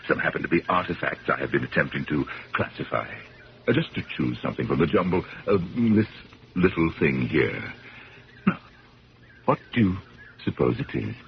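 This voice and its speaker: An actor playing a courteous, rambling old bachelor collector in a 1970s radio drama, genteel and hesitant, with a creaky voice. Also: male voice, very deep voice, expressive, normal speed, exaggerated voice. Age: older. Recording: dull, hissy old radio broadcast.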